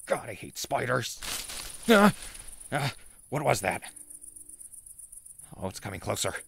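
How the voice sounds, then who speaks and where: Casting: male actor as jittery post-apocalyptic radio diarist, heavy fry, dramatic and rambling, audio drama, slight background hiss. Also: medium pitch, big pitch swings, a bit bright, exaggerated voice. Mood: panicked. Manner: rambling, dramatic, with hesitant pauses. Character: excitable, jittery wasteland radio host and survivor. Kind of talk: audio drama acting as recorded diary.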